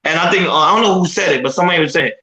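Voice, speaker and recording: A man's voice: a little high, very fast, crowd noise. Emphatic man talking in an echoey room. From Haitian descent. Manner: emphatic, repeats himself while building a point. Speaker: podcast panel host. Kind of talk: casual panel discussion.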